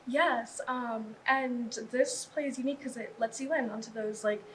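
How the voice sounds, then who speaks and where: A woman's voice: a little high, fairly even intonation, moderate pace, a little hiss or hum, rough recording. A young woman, a student actor, chatting casually as she is interviewed. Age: young adult.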